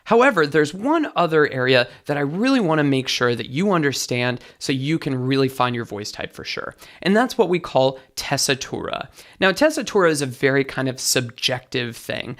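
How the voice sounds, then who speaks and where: A man with a light, bright tenor voice, a vocal coach explaining singing technique as an interview guest. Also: mid-range voice, sing-song, normal speed, few pauses. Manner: enthusiastic teaching, sings short demos.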